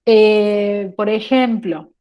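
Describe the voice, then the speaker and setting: A woman's voice: medium pitch, steady tone, drawn-out words, warm tone. A woman with an Argentine accent lecturing a class, explaining step by step and often checking whether students follow. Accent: Argentine Spanish. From La Rioja, Argentina. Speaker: teacher.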